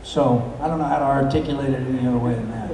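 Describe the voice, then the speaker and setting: A middle-aged man answering audience questions on a convention stage, telling stories in a relaxed, rambling way with many fillers and a handheld mic. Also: medium pitch, rapid-fire, noisy background. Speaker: convention guest actor. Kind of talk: live stage Q&A. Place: large convention hall, stage. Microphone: handheld mic, filmed from far in the crowd. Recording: echoey hall from far off.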